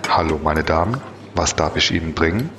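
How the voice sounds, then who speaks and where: A hotel bartender, played by a man, speaks with a very deep voice and polite, obliging manner. It is an audio drama scene with clear noise behind the voice. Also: a little fast. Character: courteous, smooth-talking hotel bartender. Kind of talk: German science-fiction audio drama.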